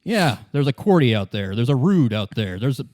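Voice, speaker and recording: A man's voice: medium pitch, very animated, darkish tone, close to an everyday voice. Man playing a blunt, stubborn union vigilante boss. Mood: defiant. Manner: blunt, defensive, halting. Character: tough, defiant union vigilante leader, male, loyal, stubborn. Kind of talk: improvised tabletop roleplay.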